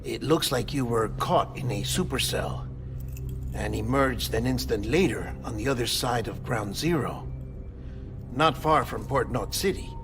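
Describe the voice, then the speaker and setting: An actor playing an earnest, analytical adviser who explains things in a measured, naturalistic way in a game cutscene. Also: male voice, medium pitch, animated. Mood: concerned. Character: earnest, analytical adviser man, concerned and methodical. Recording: music bed under the voice.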